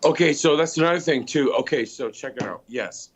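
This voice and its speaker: A man calling in to a casual chat, loud and playful, swearing often, heard over dull lo-fi audio. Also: medium pitch, a little fast.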